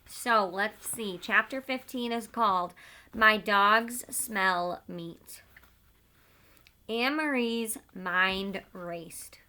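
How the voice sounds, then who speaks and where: A woman teacher speaks at a medium pitch with a bright, forward tone. Her delivery moves between informal talk to pupils and storybook read-aloud. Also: very slow.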